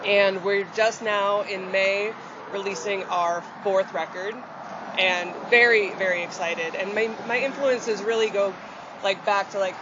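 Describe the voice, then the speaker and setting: A chatty woman from rural Michigan talks openly about her music, her somewhat deep voice easygoing and full of fillers and small laughs. She is recorded outdoors on a city street, with loud traffic around her and a dull, muffled sound. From rural Michigan, USA. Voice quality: deeper voice. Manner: chatty and open, with frequent fillers and light laughs. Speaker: country singer and accordionist. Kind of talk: casual interview answers.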